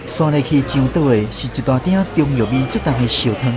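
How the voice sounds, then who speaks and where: A man with a Taiwanese accent narrating a radio drama as an expressive scripted storyteller, over music. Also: mid-range voice, a little fast.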